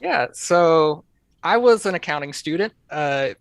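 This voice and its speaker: A man's voice: a little high, animated, a little slow, no echo. A man from North Carolina answering interview questions in an easygoing, rambling way. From North Carolina, USA. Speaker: guest, software developer.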